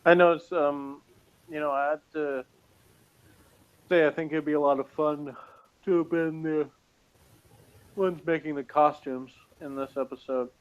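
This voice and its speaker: A man's voice: a little high, steady tone, unhurried, long pauses, clean tone. Jokey man with a dull lo-fi sound. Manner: jokey, puns by adding "space" to words. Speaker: co-host. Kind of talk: casual review chat.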